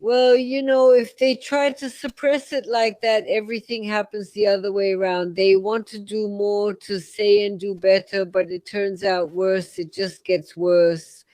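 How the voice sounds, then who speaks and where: A man's voice: high voice, level tone, a little slow, few pauses, a little mellow, clean tone, no room sound. A middle-aged man, a native Russian speaker, hosting a radio talk show and telling a story by acting out both sides of a conversation. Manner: retells scenes acting out both sides of the dialogue. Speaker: radio host.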